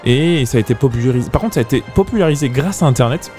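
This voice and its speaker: A man hosts a casual music quiz in a middle-pitched voice, feeding out clues and long bits of trivia. He teases the players a little. Faint music sits under his talk. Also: lively intonation, racing, darkish tone. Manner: explains track trivia at length, teasing. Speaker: blind-test quiz host.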